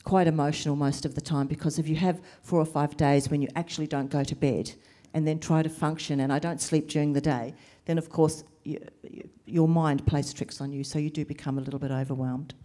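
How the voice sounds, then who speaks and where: A middle-aged woman, a carer and social worker, speaking earnestly on a panel, sleep deprived and emotional, with measured pauses. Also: low voice, steady tone, dark tone. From Lebanese family, raised in New Zealand and Australia. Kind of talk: panel discussion answers.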